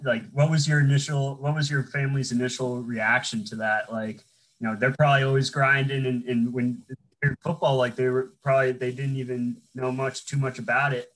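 A man's voice: medium pitch, a bit bright, rough recording. A young man hosting an interview in a loose, rambling way, full of fillers and frequent "man.". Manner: rambling, filler-heavy, says "man" often. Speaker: interview host. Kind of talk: casual interview.

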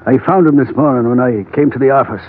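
A man's voice: mid-range voice, quick pace, lightly acted. Man playing an old trader on tinny vintage radio. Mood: grave, ominous. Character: old, fat, slovenly male trader, grave and shifty. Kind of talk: 1940s radio drama acting. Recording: tinny, faintly noisy old radio broadcast.

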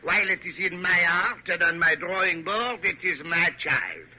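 Radio drama role: proud French major, husky edge, very bright tone, indignant and grand, French accent, dull vintage recording. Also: male voice, high-pitched, expressive, moderate pace, heavily acted. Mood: haughty. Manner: haughty, theatrical, indignant. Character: proud, temperamental French major, artist-planner of a capital city. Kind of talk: classic radio drama acting.

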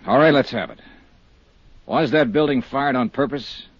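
A man's voice: medium pitch, moderate pace, vocal fry, breathy edge, dry recording. An American actor playing a tough, persistent insurance investigator in a 1950s radio drama, clipped and businesslike as he narrates and questions. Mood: insistent, probing. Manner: clipped, businesslike, hard-boiled. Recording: muffled 1950s radio recording, some music.

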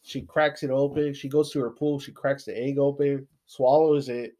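A man with a stuffy nose co-hosting a podcast, recapping plot in a relaxed way, full of "kinda" and "you know". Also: medium pitch, normal speed. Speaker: podcast co-host. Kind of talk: casual chat.

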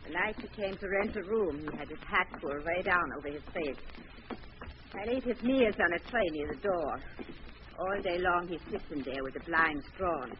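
A woman's voice: on the low side, moderate pace, natural voice. A woman playing a blunt, complaining, anxious landlady in a 1940s radio mystery. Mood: uneasy, gossipy. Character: anxious, plain-spoken landlady of a slum rooming house. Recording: dull, noisy old radio recording.

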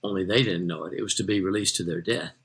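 An older American man from Texas telling detailed anecdotes as an interview guest, in a measured raconteur's manner. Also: sits low, fairly bright tone. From Texas, United States. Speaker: interview guest, retired magazine journalist.

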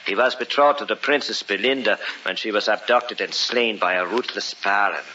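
An actor playing a reluctant, fearful art dealer in a radio horror drama, speaking gravely, formally and with ominous hesitation. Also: male voice, lowish voice, moderate pace, bright, forceful tone. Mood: ominous storytelling. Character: uneasy, reluctant male antique art dealer. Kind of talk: old-time radio horror drama acting. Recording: muffled, hissy old radio recording.

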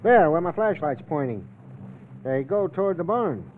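An actor playing a courteous, plain-spoken police officer on a manhunt in an old-time radio drama. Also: male voice, on the high side, very animated, moderate pace, slight background noise. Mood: folksy, probing. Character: folksy, courteous police officer hunting an escaped killer. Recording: tinny vintage radio recording.

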